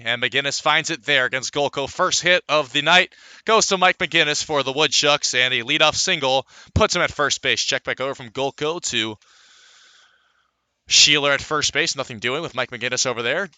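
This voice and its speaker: A man's voice: medium pitch, fairly quick, pushed and bright, clear, resonant tone, dry recording. A man calling live baseball play-by-play in a radio commentary style, filling gaps with player statistics.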